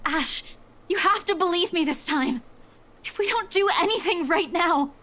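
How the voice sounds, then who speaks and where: A woman's voice: extremely high pitch, moderate pace, a bit bright. A woman voicing a desperate, stubborn young woman pleading in a visual novel game.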